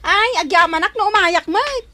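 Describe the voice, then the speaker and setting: An actress playing a giddy, cheerful woman in a radio drama, excitable and giggly. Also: extremely high pitch, moderate pace, no echo, heavily acted.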